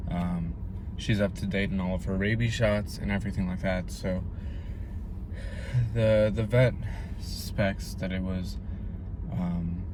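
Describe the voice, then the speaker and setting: A young man recording a personal video diary, speaking quietly and haltingly with long pauses, numb with grief. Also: sits low, noisy background. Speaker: vlogger.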